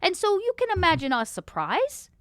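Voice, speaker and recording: A woman's voice: very high voice, wildly swooping pitch, moderate pace, fairly bright tone, no echo, heavily acted. A woman playing a folksy, motherly, salesy vampire businesswoman in a tabletop roleplaying game. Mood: earnest, coaxing. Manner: folksy, salesy, reassuring, calls others honey.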